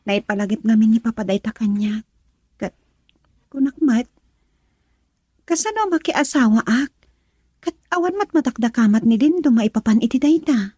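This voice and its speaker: A woman's voice: a little high, animated, normal speed, pauses often, darkish tone, husky, no echo. A woman playing a frustrated young girlfriend in an Ilocano radio drama, emotional and pleading as she longs to marry. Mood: troubled.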